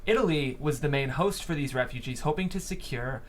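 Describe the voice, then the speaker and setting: A man's voice: mid-range voice, fairly quick. A man narrating screenplay stage directions aloud in a studio as the host of a table read.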